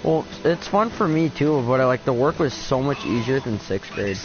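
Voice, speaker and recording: A deep-voiced teenage boy chatting casually with a friend while playing a game, laid-back and swearing a lot. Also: expressive, normal speed, lo-fi sound, over music. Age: teen. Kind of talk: casual gaming chat.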